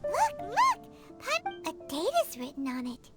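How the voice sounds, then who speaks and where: A woman voicing a small, cheerful, childlike fairy companion in a game, with a squeaky voice and a habit of speaking in third person. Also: wildly swooping pitch, measured pace, exaggerated voice. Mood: excited. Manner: refers to self in third person. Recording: music playing behind the voice.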